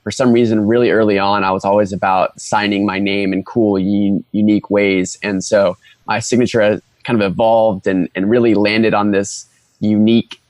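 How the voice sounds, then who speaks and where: Young adult male interview guest, lowish voice, relaxed, hesitant delivery, dry mic. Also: normal speed, no echo. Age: 20s. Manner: relaxed, hesitant with fillers and restarts. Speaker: guest entrepreneur. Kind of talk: casual interview chat.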